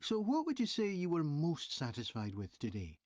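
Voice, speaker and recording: An actor playing a polite train company representative asking survey questions in a scripted listening-test dialogue, clearly enunciated. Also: mid-range voice, huge pitch swings, moderate pace, darkish tone, dry recording, lo-fi sound. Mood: polite, inquiring.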